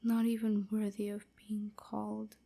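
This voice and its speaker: Breathy whispering vampire slave girl, played by a woman. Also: mid-range voice, monotone. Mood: tender, grateful. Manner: slow, halting, hushed confiding. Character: gentle, wounded female vampire slave, submissive and grateful. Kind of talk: whispered intimate ASMR roleplay.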